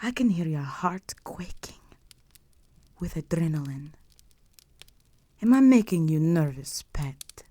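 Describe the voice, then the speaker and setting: A woman's voice: low voice, animated, slow, long pauses, warm tone, heavily acted. A woman playing a regal, possessive vampire countess in an intimate audio roleplay, speaking to the listener in a formal, imperious and seductive manner. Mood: intimate, predatory.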